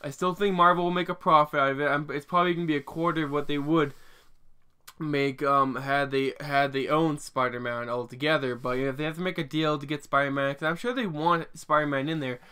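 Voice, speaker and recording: A young man in his twenties talks his opinions through in a loose, rambling way, full of fillers and restarts, with a casual swear now and then. His voice sits a bit high for a man and sounds bright and smooth. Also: fairly even intonation, fairly quick. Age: 20s. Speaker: vlogger.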